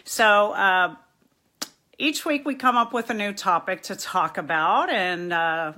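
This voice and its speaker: A bright-voiced middle-aged woman hosting a live stream from her webcam. She talks in a loose, chatty way, pokes fun at herself and keeps greeting viewers by name. Her pitch sits in the middle for a woman. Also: takes it slowly. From New York and Miami. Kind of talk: casual live-stream chat.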